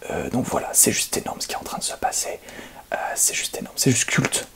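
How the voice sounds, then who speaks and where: A man's voice: brisk, lightly acted. A man playing a detestable, boastful speedrun streamer who blames bugs, commentating on his game in a whispered ASMR roleplay with a condescending, rambling manner. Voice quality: breathy whisper with creaky edges. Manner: smug, condescending, hesitant rambling delivery. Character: detestable, boastful, deluded male speedrun gamer blaming bugs.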